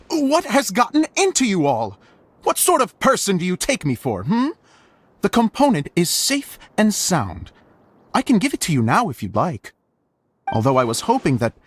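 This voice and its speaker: Theatrical, animated game director. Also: male voice, sits high, heavily acted. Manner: theatrical, grandiloquent. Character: flamboyant, excitable middle-aged male theatre director. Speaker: voice actor.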